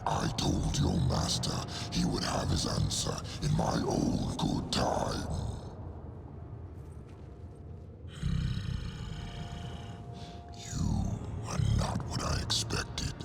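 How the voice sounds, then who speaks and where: Enormous gravelly dragon, extremely deep. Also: male voice, flat delivery, heavy background noise, exaggerated voice. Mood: suspicious. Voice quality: gravelly, rumbling. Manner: slow, imperious, formal. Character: huge ancient dragon, proud, shrewd and unhurried. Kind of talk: video game cutscene acting. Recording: loud music behind.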